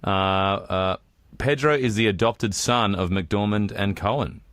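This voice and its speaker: A man's voice: fairly low pitch, unhurried, a bit bright, clean tone, no echo. A man, chatting casually as a podcast co-host. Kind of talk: casual chat.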